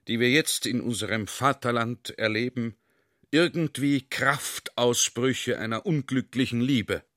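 A pompous officer in a radio play, holding forth rhetorically. His voice is forceful and bright, with lively swings of pitch, recorded close and dry. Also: no echo. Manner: rhetorical, expounding. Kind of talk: literary radio drama monologue.

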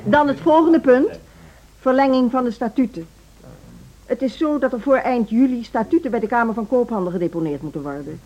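An actress playing a formal, condescending woman who runs a music school in a radio drama, speaking in a measured, officious way. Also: a little high, animated, a little mellow, highs cut off, close to an everyday voice. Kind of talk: Dutch radio drama acting.

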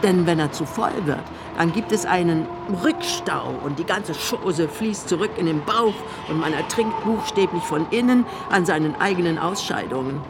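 A woman's voice: lowish voice, lively intonation, normal speed, hardly any pauses, background noise. An actress playing a frail, eccentric woman in her eighties, imperious and needling, in a German radio play. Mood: complaining. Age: elderly. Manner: theatrical, scolding, pleading.

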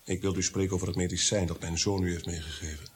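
An actor playing a brooding, guilt-haunted nobleman in a Dutch radio drama, theatrical, moving between hushed awe and agitation. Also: sits low, little pitch movement, quick pace, slight background noise, close to an everyday voice. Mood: weary, sardonic.

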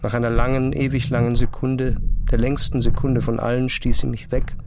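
A man reading first-person literary narration in an introspective, measured manner. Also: medium pitch, little pitch movement, background noise. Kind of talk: literary scripted narration, audio drama. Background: music.

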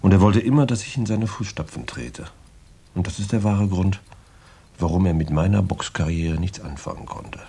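An actor playing a boastful, tough former heavyweight boxer in a radio crime drama, rambling in slangy, gravelly talk with swagger. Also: male voice, low voice, moderate pace, dark tone. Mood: chatty, fond. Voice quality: gravelly, husky.

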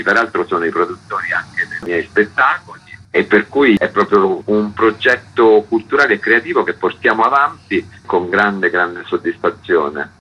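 Man, husky, enthusiastic, muffled radio audio. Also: low-pitched. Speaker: theatre artistic director interviewed as guest. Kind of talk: radio interview.

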